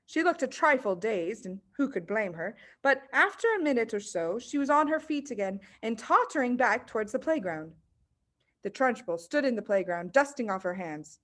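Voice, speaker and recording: A woman narrates a children's story in a fairly high voice. Her reading is expressive, in the manner of a storyteller reading a book aloud. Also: moderate pace. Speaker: audiobook reader.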